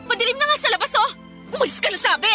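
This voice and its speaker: Radio drama role: scolding eldest daughter, very high, sharp, confrontational. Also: female voice, expressive, racing, soft background music, exaggerated voice. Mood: indignant. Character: indignant breadwinner eldest daughter, young woman, strict. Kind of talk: Filipino radio drama acting.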